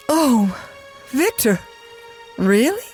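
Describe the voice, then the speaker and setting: An actress playing a restless, coy, money-hungry wife in an audio drama. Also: female voice, high-pitched, sing-song, very slow, faint music behind, heavily acted. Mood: eager, contrite.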